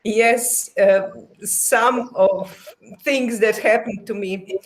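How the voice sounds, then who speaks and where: Woman, slow pace, careful halting phrasing, personal recollection. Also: medium pitch, steady tone, a little hiss or hum, rough recording. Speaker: roundtable panelist.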